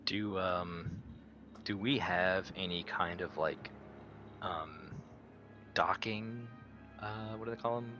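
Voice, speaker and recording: A man's voice: sits low, slow pace, pauses often, dull audio, over music. A man playing a tabletop game, talking casually as he thinks things through.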